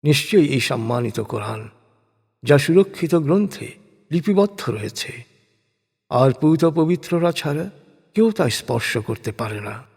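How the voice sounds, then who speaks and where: Man, slightly high pitch, husky tone, solemn scripture narration, measured declamatory delivery. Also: expressive, a little mellow. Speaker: reciter of a scripture translation. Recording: long hall-like reverb on the voice.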